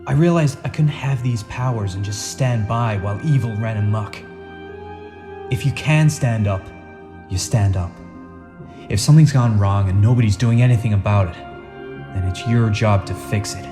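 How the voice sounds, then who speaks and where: A man's voice: lowish voice, moderate pace, natural voice. A man playing an earnest, humble, plain-spoken superhero in a radio play. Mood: humble, rousing. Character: earnest, folksy male superhero, part shark, humble and noble. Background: music.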